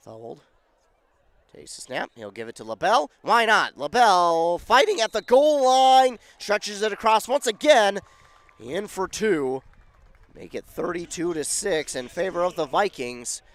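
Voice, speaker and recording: A man calling a football game on local radio, his voice going scratchy and hoarse, rising with excitement on big plays. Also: high-pitched, a little slow, no room sound. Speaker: play-by-play announcer.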